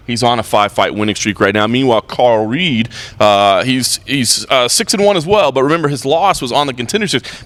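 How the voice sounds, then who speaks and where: A man hosting a fight pre-show, briskly reading records and betting odds and quizzing his panel. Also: medium pitch, a bit bright. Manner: brisk, reads stats and odds. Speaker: host.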